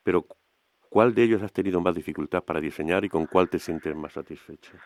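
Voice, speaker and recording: Deep gravelly radio interviewer, dry close sound, measured questions. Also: male voice, no echo. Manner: brief backchannel murmurs, careful questions. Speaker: podcast interviewer.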